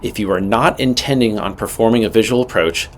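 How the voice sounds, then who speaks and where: A man with a fairly low voice presents a scripted instructional lesson. He sounds like an instructor, direct and matter-of-fact, leaning on his key points for emphasis. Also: a little fast, slight background noise. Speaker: instructor presenting a pilot training video.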